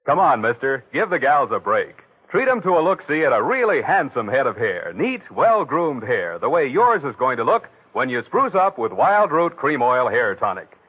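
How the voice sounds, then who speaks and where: A man announcing and reading ads on 1940s radio, with a booming sales-pitch delivery. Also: very animated, moderate pace, few pauses, breathy edge. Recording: tinny old radio broadcast.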